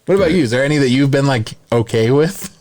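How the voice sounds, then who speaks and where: A man's voice: mid-range voice, animated, quick pace, darkish tone. A man hosting a podcast, chatting casually and giving his opinions in a conversational way. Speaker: podcast host. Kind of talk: casual podcast chat about films.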